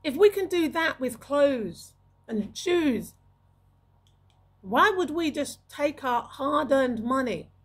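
A woman's voice: fairly high pitch, husky. A middle-aged woman talking to camera as a video host, giving emphatic advice and repeating phrases for weight, close on a studio microphone. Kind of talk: advisory talk to camera. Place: small indoor room. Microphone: large studio microphone with pop filter, close.

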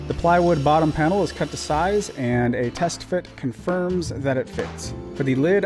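A man in his thirties narrating his woodworking project in a plain, practical way, with small restarts and fillers. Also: a little high. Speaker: woodworker explaining his build. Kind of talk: voice-over narration of a workshop project.

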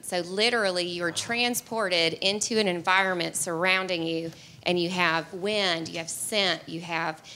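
A woman's voice: lowish voice, sharp tone. A young woman guest on a studio talk show, speaking into a handheld mic in an upbeat, chatty way with some fillers. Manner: upbeat, laughs easily, fillers. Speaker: guest promoting an attraction. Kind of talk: casual promotional chat. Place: diner-style TV studio set with audience.

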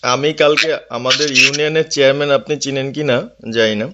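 A man's voice: medium pitch, fairly even intonation, brisk, heavy background noise. Deferential man on a dull phone line. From Bangladesh. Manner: deferential, hesitant. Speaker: local party activist. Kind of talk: informal phone report to a leader. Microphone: telephone.

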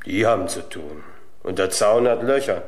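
An actor playing a grumbling, bedridden hospital patient, colloquial and grumpy, in a German radio crime play. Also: male voice, low voice, expressive, normal speed. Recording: roomy, echoing sound.

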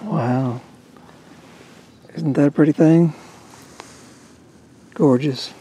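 A woman's voice: low-pitched, very slow, warm tone, slight background noise. A woman casually commenting on her fishing from a small boat on a lake, chatting folksily to the fish she catches, recorded on a boat-mounted camera. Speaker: fishing vlogger.

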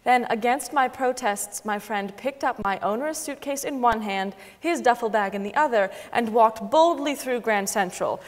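A young woman giving a deliberate, expressive dramatic reading of a memoir on a concert hall stage. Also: a little high. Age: young adult. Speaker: dramatic reader. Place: large wood-panelled concert hall stage, seated.

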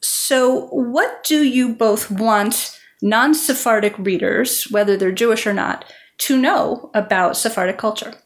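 A woman with a fairly high voice hosts a podcast. She talks casually as she introduces and questions her guests. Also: a little slow. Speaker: podcast host. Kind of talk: casual interviewing.

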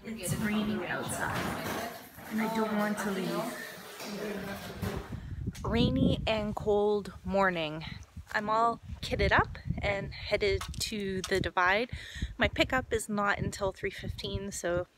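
A woman in her thirties chatting casually in short, relaxed remarks as she films a hiking vlog on a phone. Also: medium pitch, slow pace, very noisy. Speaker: hiker vlogger. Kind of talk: casual trail vlog narration to camera. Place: outdoors on mountain trail, sometimes inside hut. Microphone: handheld phone at arm's length.

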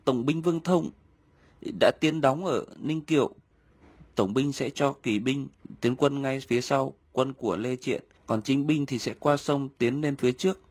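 A man voicing a frightened captured enemy spy in an animated historical film, submissive and pleading, speaking. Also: medium pitch, measured pace, dry recording. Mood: submissive, confessing.

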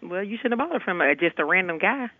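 A man's voice: high-pitched, big pitch swings, fast. A man working a hotel front desk, speaking firmly and insistently on the phone, exasperated. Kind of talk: phone customer service. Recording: tinny narrow phone line.

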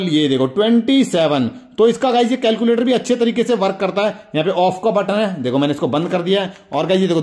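A young Indian man with a notably high voice hosts a casual product demonstration. He speaks enthusiastically, often repeating himself as he addresses his viewers directly. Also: a little mellow. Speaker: toy review video host.

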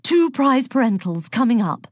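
A woman voicing a sultry, unflappable goddess in an audiobook, breathy and purring. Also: fairly high pitch, big pitch swings, moderate pace, darkish tone, dry recording, clean studio sound, pitched above the performer's own voice. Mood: reassuring.

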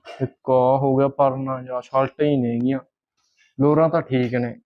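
A man's voice: medium pitch, level tone, moderate pace, lo-fi sound, natural voice. A man acting a humble, hesitant, deferential household servant in a short film, with a Punjabi accent. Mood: grumbling.

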